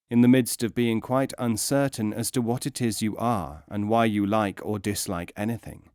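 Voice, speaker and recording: A man reading philosophical teaching stories as a scripted audiobook narration, in a measured, emphatic, instructive way. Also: mid-range voice, darkish tone, clean tone, dry recording, studio quality.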